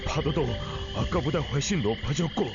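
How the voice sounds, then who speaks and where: Man playing an excitable, high-pitched ship captain. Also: lightly acted. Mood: alarmed, grave. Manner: halting, nervous, formal archaic speech. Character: flustered ship captain, anxious, old-fashioned formal speech. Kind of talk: anime-style game voice acting. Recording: slightly dull audio with music. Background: background music.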